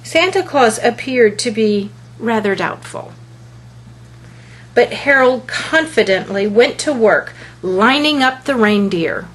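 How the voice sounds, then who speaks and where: A woman reading a children's picture book aloud as a storyteller, with deliberate, expressive phrasing. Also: a little high, poor audio.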